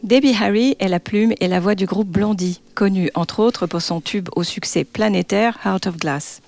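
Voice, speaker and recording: A woman's voice: mid-range voice, moderate pace, creaky, no room sound. A woman co-hosting a podcast, reading a prepared introduction and welcoming her guest. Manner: reads prepared text, warm laughs between lines. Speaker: podcast co-host. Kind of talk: scripted podcast intro and interview.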